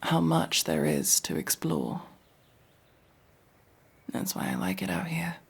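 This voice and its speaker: A man playing a guarded, world-weary elf mercenary, centuries old but young-looking, in an intimate, brooding ASMR fantasy roleplay with a breathy, gravelly, halting delivery. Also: low-pitched, wildly swooping pitch, full character voice. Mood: brooding, wistful. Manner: brooding, halting, often dropping to a whisper.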